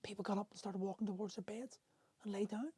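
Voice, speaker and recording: A man's voice: sits high, steady tone, fast, mellow, husky, no echo. A middle-aged man hosting a livestream, telling a personal story candidly and emotionally, swearing freely and often stopping to restart his sentences. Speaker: host. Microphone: phone on a livestream.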